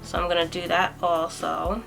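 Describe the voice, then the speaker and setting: Bright-voiced chatty young woman vlogger. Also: lowish voice, flat delivery, moderate pace, gravelly, faint music behind, poor audio. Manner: chatty and self-deprecating, thinking aloud while working. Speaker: beauty vlogger. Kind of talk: casual talk-through makeup tutorial.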